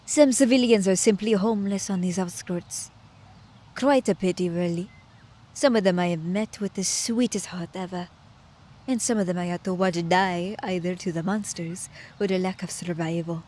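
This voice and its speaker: A woman's voice: fairly low pitch, normal speed, a little mellow, lightly acted. A woman playing a clever, teasing immortal witch in an audio roleplay, with a playful, mock-courteous manner. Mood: wistful storytelling.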